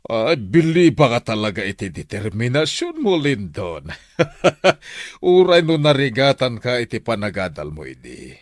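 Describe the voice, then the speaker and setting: A man's voice: medium pitch, sing-song, a little slow, no room sound, studio quality. An actor playing an admiring male mentor in a radio drama. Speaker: teacher or mentor.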